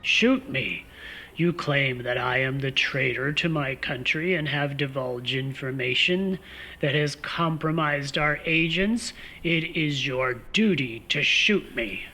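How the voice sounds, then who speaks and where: A man playing a stern spymaster in a comic radio play, commanding, exasperated and sardonic in a deliberate delivery. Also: mid-range voice, pushed and bright, heavily acted. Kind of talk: comic radio theater acting.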